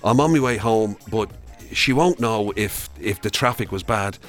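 A man's voice: lowish voice, normal speed, soft background music. A man with an Irish accent co-hosting a podcast, telling comic anecdotes in casual, teasing chat. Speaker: podcast and radio co-host.

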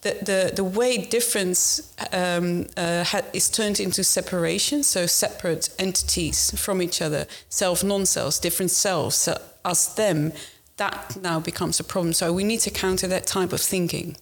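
Woman panel guest, slightly low voice, earnest, stop-start phrasing. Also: fairly even intonation, normal speed, few pauses. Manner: earnest, with frequent restarts. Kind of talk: panel discussion.

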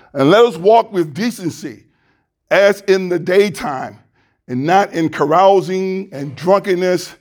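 A man preaching as a pastor, praying and reading scripture in a fervent, deliberate way with a loud voice, into a handheld mic in a church. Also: high voice. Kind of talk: sermon with prayer and scripture reading. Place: church sanctuary. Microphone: handheld microphone.

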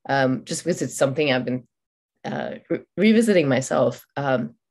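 Low-voiced thoughtful woman author. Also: lively intonation, moderate pace, a little mellow. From India, raised in Oman. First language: Malayalam. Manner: thoughtful, many fillers and restarts. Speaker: author guest. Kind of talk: podcast interview.

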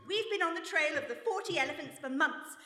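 A woman playing a brisk, unflappable 1920s lady investigator in a radio play, with a crisp, composed English manner of speaking. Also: sits high, lively intonation, pushed and bright. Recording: echoey hall, live stage recording.

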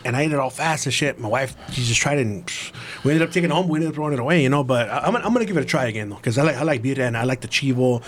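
A quick-talking man with a Chicano accent chats casually on a podcast, his voice sitting at a middle pitch. He slips between slangy English and Spanish and swears now and then, with faint noise around him.

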